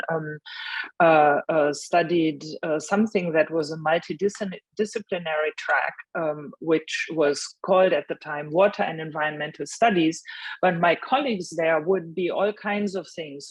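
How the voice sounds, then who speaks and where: A German woman with a German accent, speaking as a panelist about her career path, pausing often with ums and restarts. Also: deep voice, no room sound, poor audio. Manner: hesitant, many ums and restarts.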